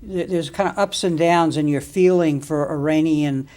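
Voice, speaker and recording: A man's voice: on the high side, moderate pace, darkish tone. A man hosting an interview show, who reads a formal introduction and then asks questions that sometimes stop and start. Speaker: interview host.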